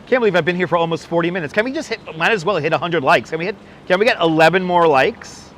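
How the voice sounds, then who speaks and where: A man from Brooklyn with a faint New York accent chats his way through a live street stream, rambling and greeting viewers by name. His voice sits a little high. He talks into a clip-on mic outdoors, with soft city traffic humming behind him. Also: animated, fast. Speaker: livestream host. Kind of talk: casual live-stream chat while out in the city. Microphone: wireless clip-on mic. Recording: street noise in the background.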